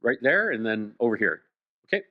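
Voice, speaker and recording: A man with a Canadian accent speaking at a conference in a measured, conversational way as he answers questions. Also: medium pitch, sing-song. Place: indoor conference room. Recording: roomy, dull lo-fi sound.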